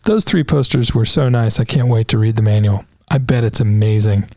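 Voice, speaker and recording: Guy reviewing a gadget, kinda sarcastic, creaky voice, totally dead dry room. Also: on the low side, a little fast, dark tone, no echo. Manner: wry, sarcastic asides. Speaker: product reviewer. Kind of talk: casual product walkthrough with dry jokes.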